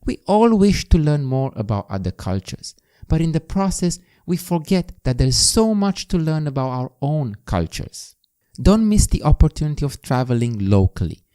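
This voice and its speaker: Animated Romanian-accented man hosting a podcast. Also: a little high, moderate pace, clean tone. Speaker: podcast host. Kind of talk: scripted self-improvement monologue.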